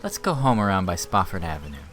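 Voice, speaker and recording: A man playing an easygoing young gentleman in an audiobook drama. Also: low-pitched, very animated, quick pace, soft background music. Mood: genial, suggesting.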